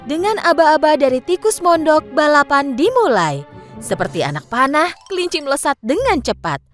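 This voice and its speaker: High, lively woman storyteller. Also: moderate pace. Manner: expressive, sing-song storytelling. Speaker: narrator. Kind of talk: scripted storybook narration for children. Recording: faint music behind. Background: faint background music.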